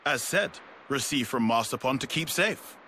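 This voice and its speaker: Little secretive creature from a video game, super lively bright voice, broken grammar, bit of hiss. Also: male voice, medium pitch, normal speed. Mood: matter-of-fact. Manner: clipped broken grammar, third-person self-reference. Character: small male Nopon creature, blunt and secretive. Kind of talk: video game cutscene voice acting.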